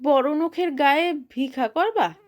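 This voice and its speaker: Gossipy old headman, slow and rambling, put on by a woman reader. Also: high voice, voice pushed up. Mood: complaining. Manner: rambling, halting speech.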